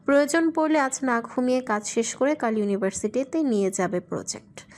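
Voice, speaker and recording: A woman's voice: high voice, a little fast, darkish tone. A woman reading scripted narration for a romantic audio story.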